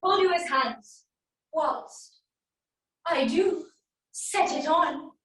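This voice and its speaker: A vengeful warrior queen in a Shakespearean stage monologue, her voice high and echoing around the room. She taunts with theatrical relish, drawing out her words slowly and mockingly. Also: fairly bright tone, exaggerated voice. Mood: contemptuous. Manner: theatrical, taunting, drawn-out delivery with swings. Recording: echoey room, distant microphone.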